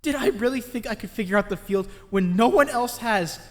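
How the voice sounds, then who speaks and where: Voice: mid-range voice, fairly quick, no room sound, studio quality, lightly acted. An actor playing an anxious, guilt-ridden teenage boy who rambles and frets in a dramatic comic dub. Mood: anxious, self-doubting. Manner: rambling, fretful self-questioning with muttered asides.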